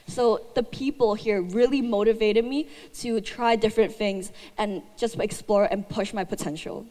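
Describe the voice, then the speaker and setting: A woman's voice: fairly high pitch, a little mellow. A young woman student giving a chatty, upbeat presentation talk with lots of fillers, speaking into a handheld mic. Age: young adult. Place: large hall. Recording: echoey hall sound.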